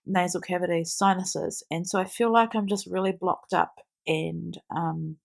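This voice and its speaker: A woman's voice: fairly low pitch, fairly even intonation, measured pace, gravelly. A middle-aged woman with a New Zealand accent, stuffy and nasal from a cold, chatting through a craft tutorial step by step with frequent ums. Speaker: craft video host. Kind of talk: casual craft tutorial voice-over.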